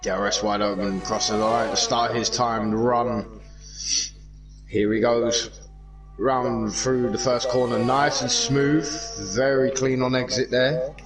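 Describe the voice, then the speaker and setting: Man, croaky, chatty race caller, middling pitch, loud music behind. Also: a little slow, rough recording. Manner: chatty, excitable, jokey. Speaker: sim racing league commentator. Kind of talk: live esports race commentary.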